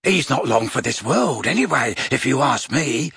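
A man's voice: mid-range voice, expressive, moderate pace, gravelly, sharp tone, slightly rough, dry recording, clean studio sound, exaggerated voice. A man voicing a mocking, volatile male henchman with a taunting, sneering delivery.

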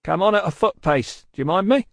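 A man voicing a gruff, suspicious mail-coach guard in a storybook, challenging and threatening in rustic dialect. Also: a little high, moderate pace, dry recording, clean studio sound, voice pushed up. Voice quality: gruff, loud, roaring when calling out.

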